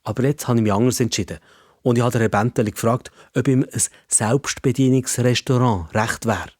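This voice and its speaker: A man's voice: mid-range voice, fairly quick, a little mellow, no room sound. Swiss male storyteller, very dry sound. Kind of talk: scripted storytelling for children.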